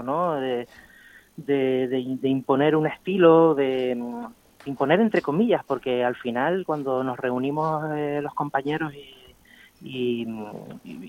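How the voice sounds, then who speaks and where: A man's voice: a little high, dry recording, phone-quality sound. A man talking as a guest on a radio interview over the phone, thoughtful, with frequent tag questions. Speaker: guest graffiti artist.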